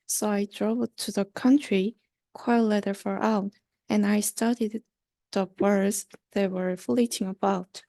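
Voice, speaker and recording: A woman's voice: mid-range voice, steady tone, measured pace, warm tone, no room sound, studio quality. A young woman, a university student, reading a children's rhyming story aloud. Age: young adult.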